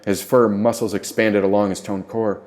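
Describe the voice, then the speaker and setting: A male narrator with a lowish voice reads a first-person tale. His delivery is dramatic, that of an author performing his own audiobook. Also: little pitch movement, normal speed, a little mellow.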